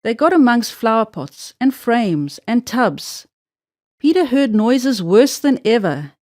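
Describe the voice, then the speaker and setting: Female storyteller for children's read-aloud, very animated pitch, measured phrasing with drawn-out key words, dry recording. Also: dry recording. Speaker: storybook narrator.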